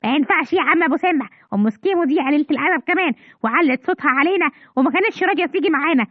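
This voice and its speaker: A bossy, scolding cartoon police officer, voiced by a woman in an Egyptian Arabic accent, rattling off orders at a breakneck clip. His voice sits startlingly high for a man, with a dry, dull sound. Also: lively intonation, racing, no echo. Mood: stern. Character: stern adult male beach police officer.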